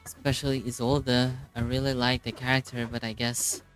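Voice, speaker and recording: A man with a mid-range voice gives casual, live commentary on a game's story. He is informal and exclamatory, thinking aloud as he goes. Soft music plays under him. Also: mid-range voice, level tone, moderate pace. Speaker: streamer reacting to a game story.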